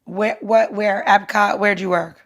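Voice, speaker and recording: A woman's voice: medium pitch, steady tone, unhurried, fairly bright tone. A woman in casual conversation, asking questions and reacting in an emphatic, incredulous way with free swearing.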